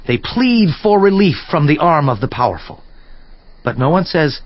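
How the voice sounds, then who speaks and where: A man's voice: fairly high pitch, sing-song, moderate pace, no room sound. An actor playing an earnest, indignant biblical man, declaiming in an oratorical dramatized scripture reading with rhetorical questions. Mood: reproving, questioning. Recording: dull lo-fi audio with music. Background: background music.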